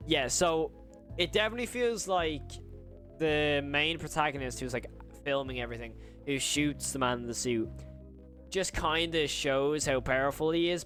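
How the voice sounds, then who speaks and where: A man's voice: mid-range voice, a bit bright. A man giving casual reaction commentary, informal and exclamatory, often repeating himself. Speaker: host of horror reaction channel.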